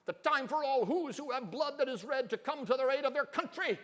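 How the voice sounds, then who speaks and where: A tiny storybook mayor, played by a man, pitched well up and full of urgency. He exhorts in dramatic rhyme, his excitable voice ringing around a big hall. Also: a little fast. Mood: urgent exhorting. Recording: reverberant hall.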